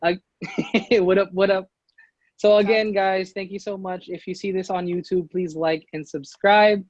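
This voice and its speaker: A man's voice: high-pitched, fairly even intonation, measured pace, no room sound. Casual Filipino male host, easygoing and chatty, dull lo-fi call audio. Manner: casual, code-switches, says bro a lot, laughs often. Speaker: podcast host. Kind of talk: casual online talk show chat.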